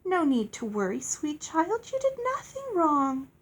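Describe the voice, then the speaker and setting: A woman's voice: sits high, huge pitch swings, measured pace, voice pushed up, full character voice. A woman voicing a sweet, self-satisfied fairy from a storybook, doting and grandly gracious. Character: sweet, beautiful, airy female fairy, blithely self-satisfied.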